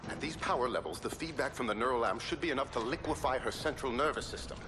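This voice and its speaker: An actor playing a nervous, halting doctor, wary and pleading, in a sci-fi horror game. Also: male voice, close to an everyday voice. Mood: urgent warning. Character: anxious male scientist doctor, wary and pleading. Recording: loud vehicle-like rumble and noise.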